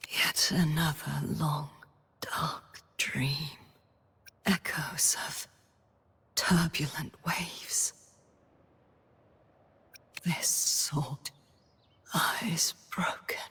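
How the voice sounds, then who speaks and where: A woman's voice: low-pitched, fairly bright tone, slightly rough, full character voice. Solemn knightly young woman, long reverb. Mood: hushed, grave. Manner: formal, dramatic, archaic phrasing. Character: noble, solemn young woman knight wielding sword and tides. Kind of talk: scripted video game character voice lines.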